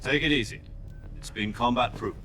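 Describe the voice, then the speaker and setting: A man playing a composed middle-aged army major in a video game, briefing his agent in an authoritative, precise, measured way. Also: medium pitch, background noise, lightly acted. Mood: calm, assured. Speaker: commanding officer, a major. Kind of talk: military mission briefing, video game voice acting.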